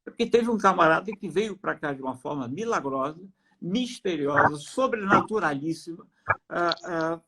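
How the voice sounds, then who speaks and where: Brazilian man, live-stream guest, slightly raised pitch, noisy line, halting then firm. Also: animated. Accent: Brazilian Portuguese. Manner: hesitant, many restarts, then emphatic. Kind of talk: faith testimony in conversation.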